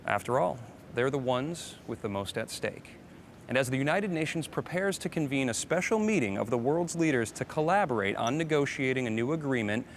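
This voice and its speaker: A man's voice: mid-range voice, a little hiss or hum. A man hosting a live broadcast, presenting from a script in an upbeat way and talking straight to the viewers. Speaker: host of a live climate broadcast.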